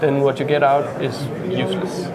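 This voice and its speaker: A man, a mechanical engineer explaining his work in a trade show interview, halting and full of fillers, wearing a lapel mic. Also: a little high. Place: large exhibition hall with crowd passing behind. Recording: busy crowded hall noise. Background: loud crowd chatter.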